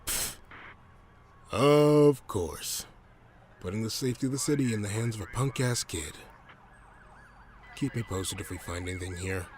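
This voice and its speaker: A man playing a gruff, cynical police detective in an audio drama, terse and brusque with a gravelly voice. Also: lowish voice, measured pace, pauses often, a little mellow, close to an everyday voice. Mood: scornful. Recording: noisy scene, siren ambience behind.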